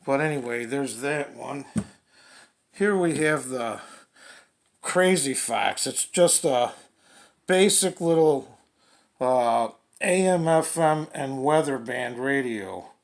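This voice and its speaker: A man gives a casual product demonstration. His voice has a creaky, gravelly edge and sits at an average pitch. He talks slowly and haltingly, in plain folksy words, like a hobbyist working without a script. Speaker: radio hobbyist presenting product reviews.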